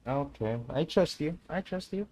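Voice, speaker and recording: A woman's voice: sits low, animated, moderate pace, mellow. A woman giving casual playthrough commentary as a gamer, with brief murmured reactions. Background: game music.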